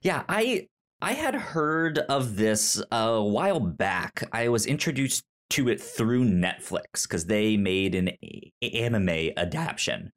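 A man's voice: fairly low pitch, lively intonation, takes it slowly. A man hosting a casual podcast chat about manga, often stammering and stumbling over his words. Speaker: podcast host.

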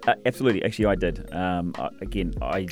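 A man with a New Zealand accent, a fitness trainer chatting casually as a radio guest, relaxed and quick to laugh. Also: sits low, gravelly, soft background music. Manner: relaxed, chatty, laughs easily, many fillers.

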